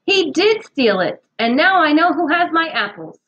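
A woman voicing an excitable, puzzled boy at summer camp in a storybook, urgent and questioning. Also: high voice, moderate pace, lo-fi sound, voice pushed up. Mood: excited.

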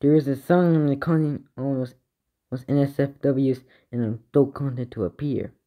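Halting man making mock emergency announcements. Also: medium pitch, fairly even intonation, mellow. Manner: halting delivery, stumbling and correcting himself. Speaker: narrator of fictional emergency alerts.

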